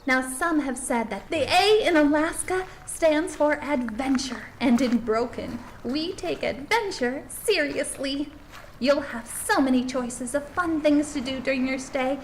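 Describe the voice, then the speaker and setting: A woman's voice: high voice, a little slow, slight background noise, rough recording. A woman playing an upbeat, gushing presenter who narrates and interviews for a promotional small-town tourism documentary. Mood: bright, promotional.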